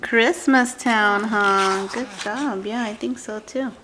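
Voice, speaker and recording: Casting note: woman, higher-pitched voice, slow expressive storybook reader for little kids. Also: noisy background. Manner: deliberate, dramatic phrasing with questions to a child listener. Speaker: adult reading a picture book aloud to a child. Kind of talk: expressive storybook read-aloud for a young child.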